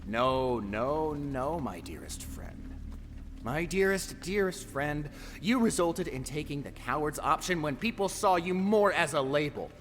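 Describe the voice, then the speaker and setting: Sharp, theatrical male tormentor in cavernous reverb. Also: a little high. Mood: accusing, furious. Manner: theatrical, swinging from whispers to shouts. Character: menacing, taunting male tormentor, grandiose and theatrical. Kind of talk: audio drama acting. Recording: long hall reverb, faint noise.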